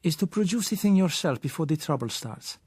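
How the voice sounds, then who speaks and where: A male narrator performs a suave South businessman for an audiobook. His pitch sits raised, his phrasing is measured and courteous, and he slips in soft pauses as he insists. The sound is dry. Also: lively intonation, slightly rough, dry recording. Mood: polite, sly. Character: suave, sly South American businessman, polite and gently insistent. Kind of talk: audiobook character voice.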